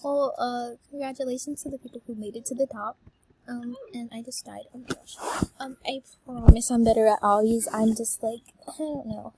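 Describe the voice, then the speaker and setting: A teenage girl chatting casually while she plays a game, high-pitched and bubbly, with lots of ums. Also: fairly even intonation, normal speed, background noise. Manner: bubbly, full of filler ums, exclaims at game fails. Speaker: gaming YouTuber. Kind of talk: casual voice-reveal chat while gaming.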